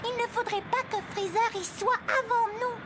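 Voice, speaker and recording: Very high small alien boy. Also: fairly even intonation, moderate pace, heavy background noise. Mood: earnest. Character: small gentle alien boy, eager helper. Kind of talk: French anime dub acting. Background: anime soundtrack music.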